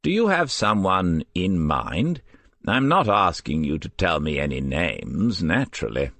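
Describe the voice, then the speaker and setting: A man voicing a proud, clever elderly care home resident in an audiobook, speaking in a formal, measured, wry manner. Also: deep voice, sing-song, a bit bright, no echo, full character voice. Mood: amused.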